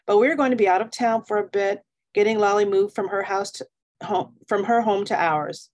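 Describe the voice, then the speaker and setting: A woman's voice: medium pitch, moderate pace, voice pushed up, lightly acted. A woman voicing a busy, caring but firm mother in a children's storybook. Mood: gently firm. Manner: gently firm, reasoning.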